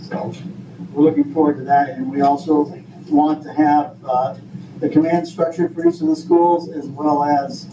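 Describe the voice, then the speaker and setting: An older man from Vermont speaking informally at a public meeting, in a halting, folksy, rambling way. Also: fairly high pitch, level tone. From Vermont, United States. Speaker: school board member leading the meeting. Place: indoor meeting room. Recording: echoey room, distant mic, noisy background.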